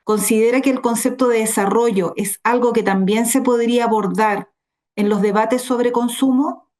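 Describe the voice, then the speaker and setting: A woman's voice: on the high side, steady tone, normal speed, darkish tone. A woman with a Chilean accent, moderating an online academic event in a polite, formal way and reading questions aloud.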